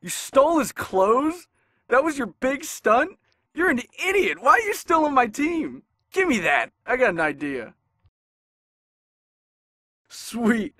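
An actor playing a cocky, bullying young skater ringleader in a video game, brash and mocking. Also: male voice, very high voice, very animated, slow pace, long pauses, bright, forceful tone, no echo, clean studio sound, heavily acted. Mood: scornful, scheming. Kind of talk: video game cutscene acting. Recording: clear street and traffic noise.

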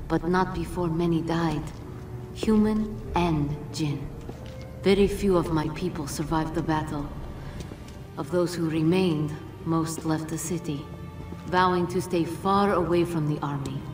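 Game role: woman as regal ancient djinn guardian, lowish voice, solemn, formal, echoing chamber sound. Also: natural voice. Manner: measured, formal, grave delivery. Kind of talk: dramatic video game cutscene acting. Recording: roomy echo, distant, music underneath.

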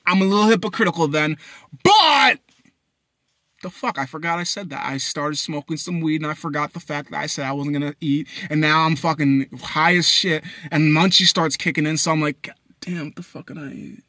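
A young man from Haiti, talking into his phone in a parked car at night, blunt and profane, swinging between loud bursts and low mutters. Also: normal speed, dry recording, clean studio sound. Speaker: vlogger. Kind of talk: rambling personal monologue. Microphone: phone camera at arm's length.

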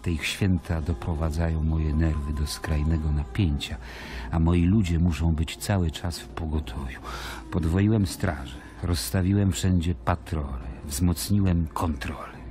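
An actor playing a weary, wary Roman governor in a radio play, brooding and disdainful as he voices his letters in measured phrases. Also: a bass voice, fairly even intonation. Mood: tense, disdainful. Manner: brooding, measured, disdainful, dropping at times to near whisper. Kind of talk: dramatic monologue in a radio play, letter read aloud. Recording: music bed behind the voice. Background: music.

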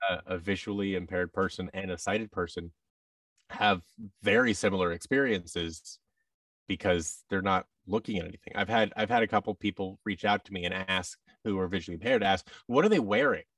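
Low-voiced American man, slightly off-mic. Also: fairly quick, a bit bright, no echo, far from the mic. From United States. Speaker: guest, voice actor and audio drama producer. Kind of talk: casual interview conversation. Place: large office room, indoors. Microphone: headset with boom-arm microphone on a video call.

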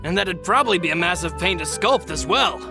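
A courteous middle-aged henchman, loyal and deferential, with a creaky voice that moves up and down a lot. Faint music plays under the game dialogue. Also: sits high, lively intonation, brisk. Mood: hesitant. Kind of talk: anime video game voice acting.

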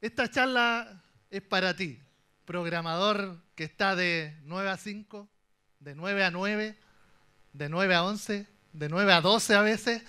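A young Chilean man with a fairly high voice gives a conference talk from the stage. He jokes his way through the story, lively and playful, with a Chilean accent throughout. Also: slow, smooth. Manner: animated, joking storyteller. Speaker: conference speaker. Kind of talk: humorous tech talk.

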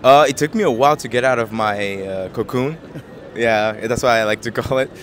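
A man in his twenties from Japan, answering street interview questions in a soft, hesitant way with many fillers and easy laughs, into a handheld mic outdoors. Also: medium pitch, moderate pace. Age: 20s. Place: busy city square outdoors. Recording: busy street crowd behind.

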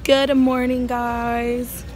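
A young woman vlogging on her phone at arm's length outdoors, her voice worn down by a cough, talking excitedly and calling to the kids around her. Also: fairly high pitch, extremely slow. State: lost voice, coughing. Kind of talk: casual vlog narration. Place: outdoor street parade and carnival. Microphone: phone held at arm's length. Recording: loud music and crowd behind. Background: crowd noise, music.

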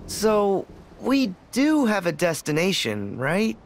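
A man's voice: high-pitched, huge pitch swings, slow, slight background noise, heavily acted. A young male actor playing a plucky, earnest boy adventurer in a game cutscene. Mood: puzzled. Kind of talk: animated game cutscene acting.

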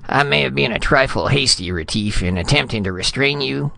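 A man's voice: mid-range voice, animated, fairly quick, creaky, pushed and bright, voice pushed up, full character voice. A man voicing a pompous, choleric senior ambassador in a storybook reading, blustering and sputtering in formal phrases. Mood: outraged.

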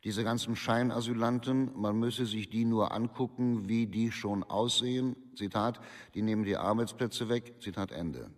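Actor as detached official in a reverberant hall. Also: male voice, fairly low pitch, natural voice. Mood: detached, formal. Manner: detached, formal, deliberate quote marking. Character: formal official reading out an interrogation record. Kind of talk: scripted reading of a record.

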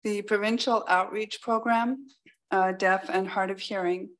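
A woman's voice: medium pitch, fairly even intonation, unhurried, fairly bright tone, poor audio. Woman reading school district news aloud. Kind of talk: scripted narration, read aloud at a meeting.

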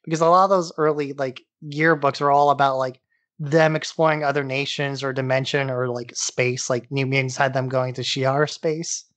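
A man's voice: medium pitch, fairly even intonation, normal speed, fairly bright tone, dry recording. A man co-hosting a podcast in a casual discussion, talking in loose, rambling explanations. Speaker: podcast co-host.

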